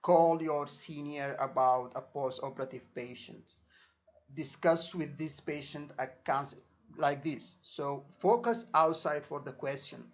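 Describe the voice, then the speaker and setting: A man's voice: a little high, a little slow. A man giving an informal exam lesson as a tutor, repeating himself and checking understanding with short tags. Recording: dull lo-fi microphone sound.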